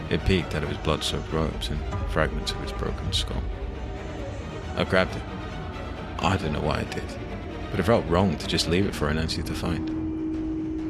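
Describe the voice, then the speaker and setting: Very deep-voiced man narrating over music. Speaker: audio fiction narrator. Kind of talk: dramatic first-person scripted story narration.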